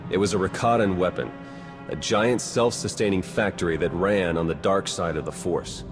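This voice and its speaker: A confident secret agent in a sci-fi game, acted by a man, with a very low voice. He is dry, casual and practical, with music playing behind him. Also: fairly even intonation, moderate pace, close to an everyday voice. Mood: determined briefing. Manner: casual, dry, matter-of-fact. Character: wry, confident male Republic spy, practical and brisk. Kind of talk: video game voice acting.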